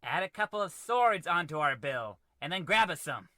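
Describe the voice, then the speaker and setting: Man acting sharp, bombastic swashbuckling space adventurer. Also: fairly high pitch, sing-song, normal speed, no room sound, studio quality. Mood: bold challenge. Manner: bombastic, declaiming. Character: swashbuckling, gun-waving space adventurer, gloating and righteous. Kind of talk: melodramatic comedy radio play.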